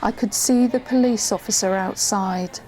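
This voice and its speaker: Mellow actress as a female storyteller. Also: medium pitch, normal speed, close to an everyday voice, low-quality recording. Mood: suspenseful narration. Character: female storyteller recalling a tense witnessed drama. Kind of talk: scripted first-person drama narration.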